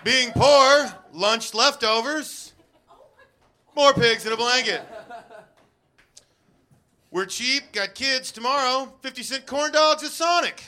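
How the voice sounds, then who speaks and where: A man's voice: very high-pitched, slow pace, pauses often, bright, forceful tone. A man with a wife and kids doing a self-deprecating stand-up set on a live stage. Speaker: stand-up comedian.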